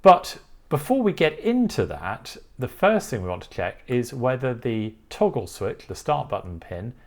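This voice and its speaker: Casting: male tutorial presenter, medium-pitched voice, methodical step-by-step explanatory delivery. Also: big pitch swings, measured pace, darkish tone. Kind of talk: explanatory coding tutorial walkthrough.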